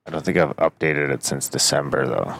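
A man's voice: very deep voice, fairly even intonation, brisk, creaky, fairly bright tone. A man co-hosting a podcast, chatting in an easygoing, bantering way. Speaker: podcast co-host. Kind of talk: casual podcast chat.

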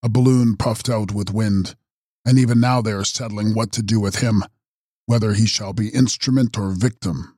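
A man voicing a mysterious, ageless male count who makes grave, measured prophecies in an audiobook. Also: fairly low pitch, fairly even intonation, darkish tone, no echo, clean studio sound, lightly acted. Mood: foreboding. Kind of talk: audiobook character reading.

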